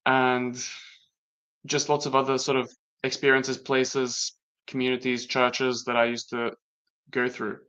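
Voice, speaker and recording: Latvian man talking in halting reflection. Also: medium pitch, completely flat delivery, sharp tone, smooth. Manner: reflective, halting, with many restarts. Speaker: guest. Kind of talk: casual conversation. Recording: dull lo-fi sound.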